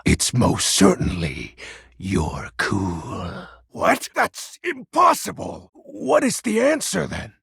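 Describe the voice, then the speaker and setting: An actor playing a grandiose, nerdy, wistful self-styled dark overlord in a video game, with a husky, gravelly voice and overdramatic, archaic phrasing. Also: male voice, a little high, no echo, studio quality, heavily acted. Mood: flattered, flustered. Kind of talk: video game character acting.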